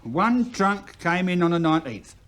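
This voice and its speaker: Radio drama storekeeper, London accent: officious, chatty grumbler, lively swooping delivery, slightly high male voice. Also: moderate pace. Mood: grumbling. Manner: garrulous, complaining, colloquial. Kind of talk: British radio play acting.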